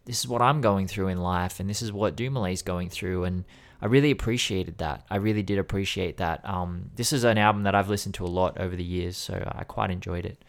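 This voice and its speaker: Man, podcast co-host, low voice, earnest analytical album talk. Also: a little fast, creaky. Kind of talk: album review discussion.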